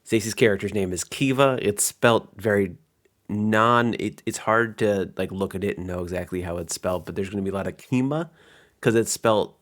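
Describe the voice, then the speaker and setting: A man's voice: on the low side, moderate pace, a bit bright. A man working as game master, narrating and commenting casually in an informal, self-deprecating way.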